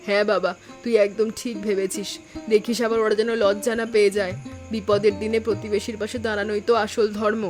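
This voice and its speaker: A middle-aged woman voicing a kindly, steadfast village mother in an animated family drama. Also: medium pitch, quick pace, lightly acted. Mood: sympathetic. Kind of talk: animated moral story voice acting. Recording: faint music behind the voice.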